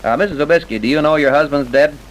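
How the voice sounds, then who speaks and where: An actor playing a tough, wisecracking homicide detective with an American accent, blunt and slangy, in an old radio crime drama. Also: male voice, fairly high pitch, quick pace, slight background noise, natural voice, rough recording. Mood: cool, probing. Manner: hard-boiled, blunt, slangy.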